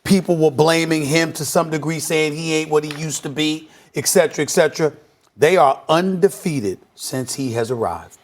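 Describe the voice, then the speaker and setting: A man hosting a televised sports debate, arguing his points in an emphatic, forceful way. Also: fairly high pitch, moderate pace. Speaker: host of a sports debate show.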